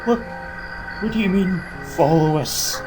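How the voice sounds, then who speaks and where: A man's voice: high voice, over music. A man voicing a stubborn, boastful little steam engine who is easily frightened, in an audio drama. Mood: indignant. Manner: blustering, quick to panic. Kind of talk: audio drama character acting.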